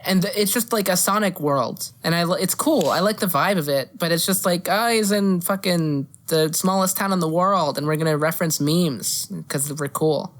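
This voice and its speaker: Low-pitched woman, opinionated casual podcaster. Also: moderate pace, few pauses. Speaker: podcast co-host. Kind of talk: casual chat about movies and games.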